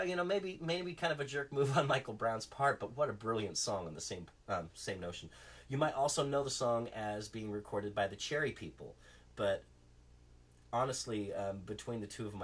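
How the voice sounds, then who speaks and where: Congested man chatting casually. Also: medium pitch. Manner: relaxed, rambling, frequent ums. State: congested, phlegmy, voice nearly gone. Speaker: host of a daily acoustic song channel. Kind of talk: casual spoken aside after a song.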